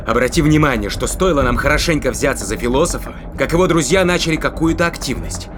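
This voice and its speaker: An actor playing a ruthless, mocking spaceship captain in a science-fiction audio play, taunting and commanding. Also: male voice, mid-range voice, lively intonation, fast talker, over music, natural voice. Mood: intent reasoning.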